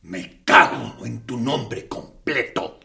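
An actor playing a harsh male military captain interrogating a prisoner in a radio drama, with a gruff manner. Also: mid-range voice, expressive, takes it slowly, sharp tone, heavily acted. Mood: angry. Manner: gruff, swinging between coaxing and angry outbursts.

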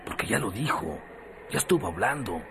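A man's voice: fairly low pitch, very animated, a little fast, creaky, fairly bright tone, full character voice. An actor playing a sardonic, scornful man who grumbles colloquially with a Mexican accent in a radio drama. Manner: sarcastic, colloquial, repetitive. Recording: noisy radio drama recording with ambience.

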